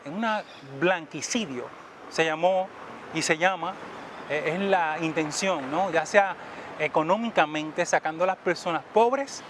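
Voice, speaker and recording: A man's voice: fairly high pitch, unhurried, background noise. A man in his fifties from New York telling a personal story in a reflective, conversational way, often checking in with a question. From United States (New York). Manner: reflective storytelling, tag questions, grows emphatic. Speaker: interviewee. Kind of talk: personal testimony in an interview.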